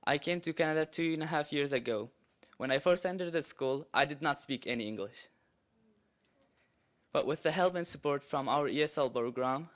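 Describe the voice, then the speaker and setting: A man's voice: mid-range voice, fairly bright tone. A teenage boy giving an earnest, prepared presentation at a school board meeting. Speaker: student presenter.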